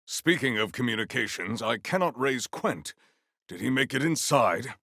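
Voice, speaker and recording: Game hero, stern proud warrior: gravelly, formal, theatrical delivery that swings widely in pitch. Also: male voice, expressive, moderate pace, pushed and bright, no echo, clean studio sound, exaggerated voice. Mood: solemn, formal. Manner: formal, grandiose, theatrical declarations. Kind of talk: video game character voice lines.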